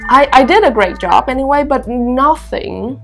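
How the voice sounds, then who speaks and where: A woman's voice: sits high, expressive, moderate pace, gravelly, soft background music. A teacher speaking English in a casual interview, chatty and self-deprecating, laughing often as she talks. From Vietnam. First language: Vietnamese. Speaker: teacher and guest.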